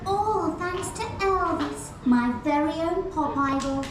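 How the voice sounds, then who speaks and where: A woman's voice: extremely high pitch, slow pace, heavy background noise. A woman playing a lovestruck young mayor's daughter in a children's puppet show, girlish, pleading and theatrical. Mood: adoring. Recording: echoey theatre from far off, music.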